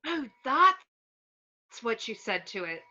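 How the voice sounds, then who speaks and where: A woman's voice: on the high side, sing-song, takes it slowly, bright, forceful tone, heavily acted. A woman reading a blunt, incredulous young schoolboy in a script read, on a dull lo-fi microphone. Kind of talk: online script table read.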